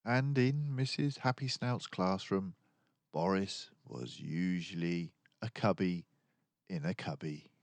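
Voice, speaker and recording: An older man reading a children's story aloud as an expressive narrator. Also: low-pitched, takes it slowly, dry recording. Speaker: storybook reader.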